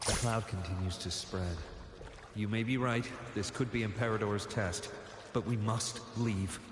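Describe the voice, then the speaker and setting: A man's voice: lowish voice, fairly even intonation, unhurried, lightly acted. A man playing a grave, cautious seminary member heard as a ghostly echo in a video game, speaking solemnly and urgently. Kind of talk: scripted video game dialogue. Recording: echoing hall reverb, clear watery ambient noise.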